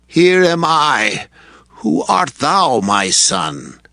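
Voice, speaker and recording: An actor playing a frail, solemn old father in a dramatized scripture reading, with halting, drawn-out delivery. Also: fairly high pitch, very animated, sharp tone, no room sound, studio quality, heavily acted. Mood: doubtful, searching.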